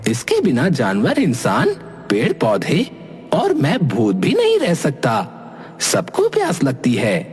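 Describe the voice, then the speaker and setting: A man's voice: a little high, wildly swooping pitch, takes it slowly, breathy edge, exaggerated voice. A man voicing an indignant cartoon ghost who lectures assertively in defence of forest animals. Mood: reproachful. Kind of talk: Hindi cartoon voice acting. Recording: long hall reverb, faint music behind.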